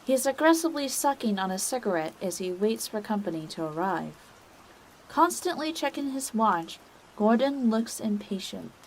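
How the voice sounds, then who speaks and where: A woman's voice: fairly high pitch, big pitch swings, moderate pace, rough recording. Woman narrating drama, faint hum. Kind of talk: scripted story narration.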